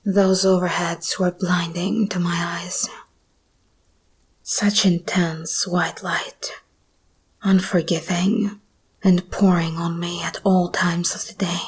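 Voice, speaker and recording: A woman playing an escaped hybrid monster girl, possessive yet tender, in an intimate, breathy whispered ASMR roleplay. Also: lowish voice, level tone, heavily acted. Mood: tender, reassuring. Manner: slow, intimate, intense.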